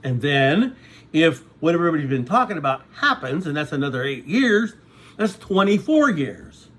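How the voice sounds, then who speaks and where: Man, sixties, high-ish animated voice, meandering vlog monologue with frequent asides to viewers. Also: normal speed. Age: 60s. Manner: conversational, repeats "okay?" to check in with viewers. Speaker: vlogger. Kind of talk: rambling casual monologue.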